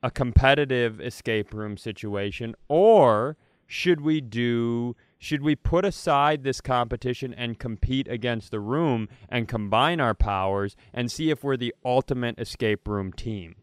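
A man co-hosting a podcast, chatting casually in an eager, animated way and steering the talk. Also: mid-range voice, unhurried, few pauses, clear, resonant tone, no room sound, clean studio sound. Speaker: podcast co-host.

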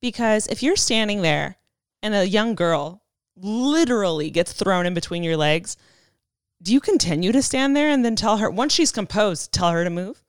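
A young woman co-hosting a podcast, reading a listener's story aloud in an animated way and laughing often. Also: mid-range voice, normal speed, clear, resonant tone, dry recording, studio quality. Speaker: podcast co-host.

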